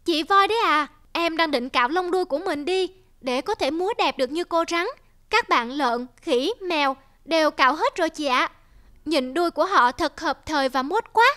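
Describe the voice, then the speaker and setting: A woman's voice: sits high, lively intonation, normal speed, bright, forceful tone. A woman voicing a vain, eager young squirrel in a children's cartoon, exclaiming in a boastful, childlike way.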